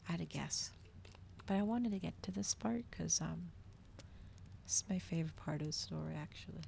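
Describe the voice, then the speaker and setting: Low, creaky-voiced woman streamer, softly chatting. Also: normal speed, a little hiss or hum. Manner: hushed, often dropping into whispers. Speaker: livestreamer hosting an ASMR reading stream. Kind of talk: casual livestream chat, soft ASMR.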